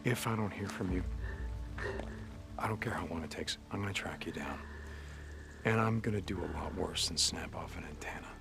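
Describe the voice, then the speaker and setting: A man playing a gruff, determined survivor in a cinematic video game, terse and intimidating in manner. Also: deep voice, normal speed, long pauses, over music. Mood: cold menace. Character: menacing, determined male survivor drifter searching for his wife.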